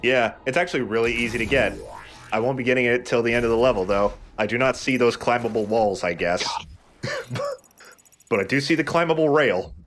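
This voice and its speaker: A man's voice: medium pitch, brisk, a bit bright. A man giving casual, rambling explanations as he hosts a let's play of a video game. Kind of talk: casual gameplay commentary. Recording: game music behind the voice. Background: video game music.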